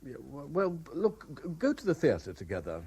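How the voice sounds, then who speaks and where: Troubled british detective in an old 70s radio play, low mellow voice, a bit husky, hesitant. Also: male voice, huge pitch swings, heavily acted. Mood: uneasy, hesitant. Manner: hesitant, stammering in talk, measured when dictating. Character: haunted, reluctant male private investigator, hesitant and troubled. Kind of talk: radio drama acting. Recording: 1970s radio drama broadcast.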